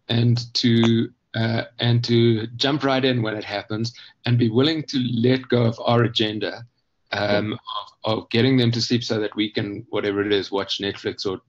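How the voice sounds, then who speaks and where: A man explaining his ideas conversationally as an interview guest, thoughtful and halting, often pausing mid-phrase to rephrase. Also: medium pitch, muffled recording. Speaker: guest, children's book author.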